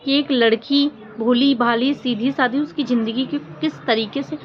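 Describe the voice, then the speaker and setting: High-voiced woman, muffled vlog recording. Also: fairly even intonation, normal speed. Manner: earnest, reproachful, emphatic. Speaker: vlogger commenting on social media controversy. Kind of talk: informal opinion commentary to viewers. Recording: muffled lo-fi audio with faint noise.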